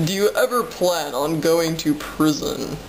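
A young woman's lowish, formally polite prank-call voice, overheard in an echoey, noisy room at home. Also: a little slow. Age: young adult. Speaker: prank caller. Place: small room at home, indoors. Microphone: camera microphone a short distance away. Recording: roomy, noisy home camera recording.

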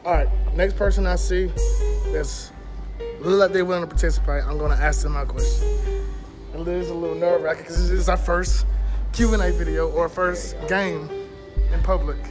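A young man talks into a close-held phone as he walks through an indoor mall, his voice pitched high for a man. His chat is loose, slangy and eager, and hip hop music plays loudly under him. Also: a little fast. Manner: loose, excited, slangy banter. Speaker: vlogger. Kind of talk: casual vlog, asking strangers questions. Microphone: phone camera held close. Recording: music playing loudly behind.

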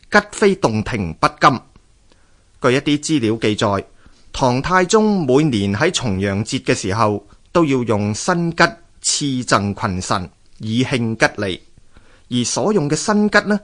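A male radio narrator with a Hong Kong Cantonese accent tells scripted tales and history. His medium-pitched voice moves up and down in a lively way. The delivery is expressive and measured, like a storyteller reciting.

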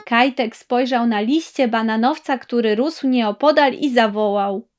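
A woman narrating a scripted children's fairy tale in a measured storytelling manner. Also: a little high. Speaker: audiobook narrator. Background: plucked guitar music.

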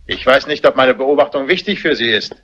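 Modest doctor: bright voice, careful and deferential, on a dull vintage soundtrack. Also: male voice, medium pitch, expressive, brisk. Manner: hesitant, polite, careful. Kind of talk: German crime film dubbing, theatrical dialogue.

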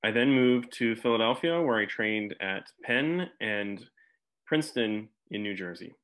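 A man raised in Alabama, a professor, giving a measured introduction of his background and lab. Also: fairly low pitch, expressive, a bit bright. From Alabama, USA. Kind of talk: scripted self-introduction.

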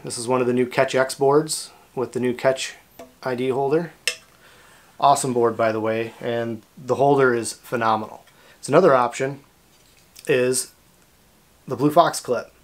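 A man in his thirties speaks to camera in a mid-pitched voice with a North American accent. He explains a product in an informal, plain-spoken way, as the host of an outdoors channel. He is recorded indoors in a small workshop. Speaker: host of a kayak fishing channel.

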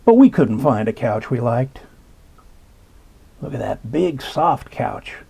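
A man reads a children's picture book aloud, taking his time and stopping to comment on the pictures. His voice has a gravelly, husky edge. It swoops up and down with lots of expression. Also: mid-range voice, lively intonation, slow pace, mellow. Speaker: storybook reader. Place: indoors at a table.

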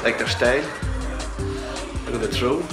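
A man vlogging a road trip in a relaxed, musing way, with soft chuckles, talking outdoors on a coast. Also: medium pitch, normal speed. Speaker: travel vlogger. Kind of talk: casual vlog narration. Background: loud music.

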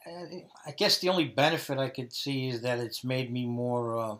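A man's voice: mid-range voice, normal speed, fairly bright tone. A man in his fifties with a Brooklyn New York accent, giving answers in an interview. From Brooklyn, New York, USA. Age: 50s. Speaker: interviewee, dialect research subject.

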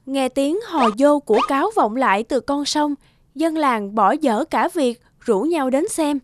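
A woman's voice: high-pitched, animated, unhurried, no echo, studio quality. A woman reading scripted fairy-tale narration as a storyteller.

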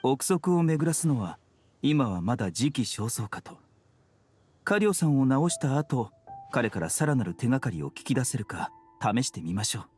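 Man playing a young anime game doctor, mid-pitched male voice, soft-spoken, polite, unhurried, light music behind. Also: very animated, natural voice. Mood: grave, measured. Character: gentle, composed young male healer, courteous and measured. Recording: studio voice over background music.

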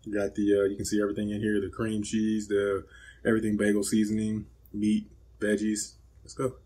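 Home cook host, thirties, lower pitch, easygoing filler-heavy delivery, seated at the camera. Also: male voice, a little fast, slightly rough. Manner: relaxed, rambling, filler-heavy explaining. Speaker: cooking channel host. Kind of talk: casual cooking voice-over and taste test. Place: indoor home set with plain backdrop.

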